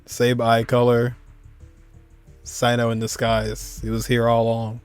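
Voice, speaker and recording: A man's voice: medium pitch, monotone, measured pace, clear, resonant tone, no room sound, soft background music. A man giving casual, offhand live reaction commentary as a gaming streamer, in muttered asides.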